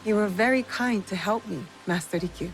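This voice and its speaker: A woman's voice: mid-range voice, fairly quick, faint music behind, close to an everyday voice, rough recording. Composed, reserved young warrior woman played by an actress. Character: composed, earnest female mercenary of feudal Japan.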